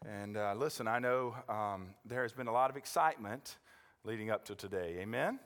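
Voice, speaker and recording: A man's voice: fairly low pitch, brisk, a bit bright. A man with an American accent, a pastor speaking informally from the pulpit, folksy and often checking in with his listeners. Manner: folksy, checks in with "all right?", "okay?", "amen?". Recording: reverberant church hall sound.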